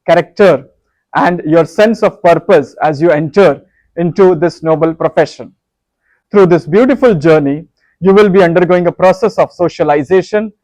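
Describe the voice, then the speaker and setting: A formal college dean, voiced by a man delivers a solemn welcome speech. His voice sits a little high and sounds close and dry. He speaks with measured ceremony. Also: a little mellow, dry recording, rough recording.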